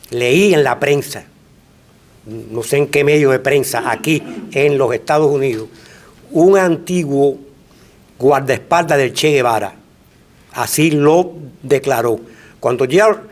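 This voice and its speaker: Older man, panel moderator, halting and full of fillers, a touch high. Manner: hesitant, many fillers. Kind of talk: moderating, telling an anecdote.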